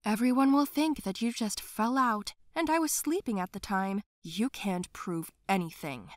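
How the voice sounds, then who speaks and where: A woman voicing a scheming middle-aged nanny who talks high and flustered, evasive and then cold. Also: animated, moderate pace, no room sound, studio quality, lightly acted. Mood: sly.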